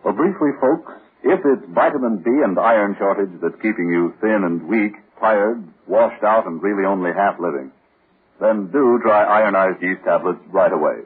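An American man works as a 1940s radio announcer, delivering sponsor copy. His voice is fairly low and very rough-edged. It comes through a thin, muffled old broadcast recording. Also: normal speed.

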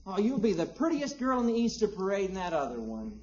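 A man's voice: high-pitched, huge pitch swings, fast, darkish tone, slightly rough, exaggerated voice. An American man playing an earnest, penny-pinching young husband, eager and insistent, in a 1950s radio sitcom. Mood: coaxing, businesslike. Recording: muffled old radio recording.

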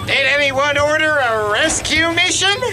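A boisterous country posse leader with a high, swooping voice and a Southern drawl. He hollers his bossy, folksy lines slowly, with loud music underneath. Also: expressive. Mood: cocky, friendly. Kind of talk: hammy audio drama acting.

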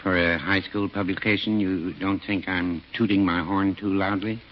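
A man playing low-voiced, deadpan old druggist. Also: moderate pace, natural voice. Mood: chipper. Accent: American. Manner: dry, deadpan, deferential. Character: mild, folksy, unflappable small-town druggist, older man. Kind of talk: 1940s radio comedy acting. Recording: muffled, noisy 1940s radio recording.

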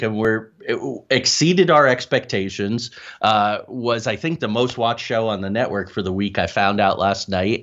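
Low-voiced man, muffled lo-fi sound. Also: fairly bright tone. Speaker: paranormal researcher, podcast guest. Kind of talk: casual interview talk.

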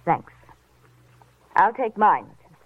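An actress playing a cool, mocking, murderous nurse in a 1950s radio crime drama, silky and taunting. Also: female voice, medium pitch, sing-song, a little slow, narrow, tinny audio. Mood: greedy, cool. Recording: old band-limited radio, faint noise.

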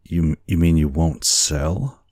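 A man reads as a resolute Hawaiian storybook hero in despair. His voice is extremely low and unhurried, earnest and emphatic, with formal phrasing. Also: a little mellow, no echo, clean studio sound. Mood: shocked.

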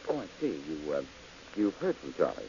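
A man's voice: extremely low pitch, very animated, normal speed, warm tone, heavily acted. A man playing a cool-headed, mocking detective in an old radio drama. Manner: cool, mocking and self-assured. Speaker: detective hero. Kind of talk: 1940s radio acting. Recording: dull old radio recording with hiss.

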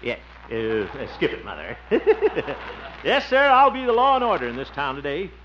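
Old noisy radio comedy, pompous jovial fellow, wildly swinging pitch, blustery chuckles. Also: male voice, wildly swooping pitch, moderate pace. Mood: jovial bluster. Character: jovial, pompous small-town man playing deputy marshal. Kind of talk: 1950s radio comedy acting. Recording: muffled, noisy 1950s radio recording.

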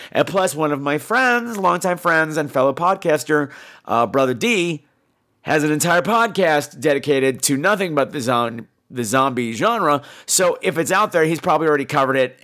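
A man's voice: mid-range voice, fairly bright tone. A man hosting a podcast in casual chat, with a campy, sassy, profane way of talking. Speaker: podcast host. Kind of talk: casual solo chat, answering listener calls.

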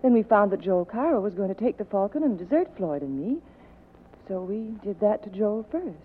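An actress playing a seductive, evasive femme fatale with a coaxing, dramatic manner in a 1940s radio drama. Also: female voice, medium pitch, sing-song, moderate pace. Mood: coy, evasive. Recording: tinny vintage 1940s radio recording.

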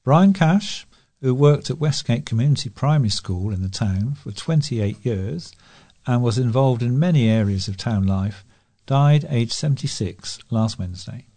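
A man's voice: medium pitch, animated, normal speed, warm tone. A man with a British accent reading scripted local news as a volunteer newsreader.

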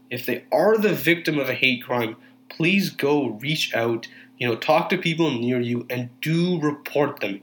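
Casting: young man, earnest and urging, forceful tone, plenty of fillers. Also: medium pitch. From Canada. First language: Urdu. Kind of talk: earnest monologue.

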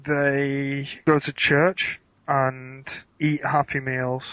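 A man's voice: medium pitch, little pitch movement, very slow, a bit bright, no room sound, muffled recording. A British man co-hosting a podcast in casual banter, laid-back with dry, teasing humor. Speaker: podcast co-host.

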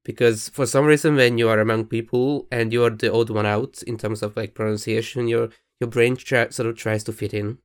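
Hungarian man, flat steady delivery. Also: mid-range voice, a little fast, hardly any pauses, smooth. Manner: thoughtful, restarts sentences while searching for words. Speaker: podcast co-host. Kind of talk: casual chat.

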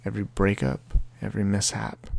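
A man's voice: fairly low pitch, completely flat delivery, fairly quick, gravelly, a little mellow. A man in his twenties hosting a podcast, talking earnestly and confessionally and reading from his own book. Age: 20s. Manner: earnest, confessional, heavy language. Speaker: podcast host.

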